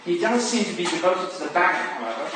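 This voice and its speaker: A man acting a genial, chatty Victorian gentleman in a staged play reading, heard in an echoey room. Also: high-pitched, big pitch swings, fast talker. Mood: chatty, busy. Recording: very echoey, distant, noisy room recording.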